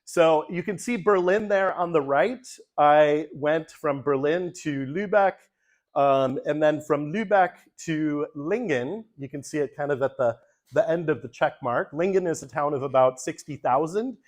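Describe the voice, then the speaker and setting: A man's voice: a little high, measured pace. A man, a pastor, talking casually through a slide presentation at a church forum, with frequent ums and uhs. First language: English. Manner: relaxed, many ums, talks through slides.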